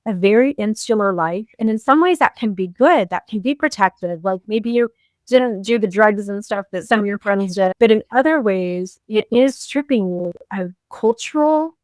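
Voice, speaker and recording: A woman's voice: medium pitch, normal speed, darkish tone, no room sound. A woman in her fifties hosting a podcast, speaking earnestly and explaining her thoughts in casual conversation. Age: 50s. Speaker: podcast host.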